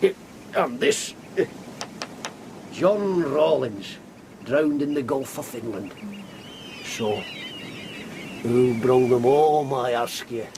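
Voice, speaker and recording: An actor playing a garrulous, cynical sailor of nearly a hundred, with a Yorkshire accent and folksy rambling asides, in a period radio drama. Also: male voice, mid-range voice, slow pace, long pauses, noisy background. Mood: cynical glee. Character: ancient garrulous old sailor, blunt and cynical.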